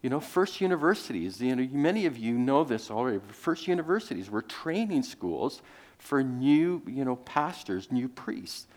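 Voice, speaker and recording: A man's voice: mid-range voice, very animated, moderate pace, darkish tone. A man whose first language is Greek, preaching a sermon in a rambling way, with many restarts, fillers and small chuckles. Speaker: pastor.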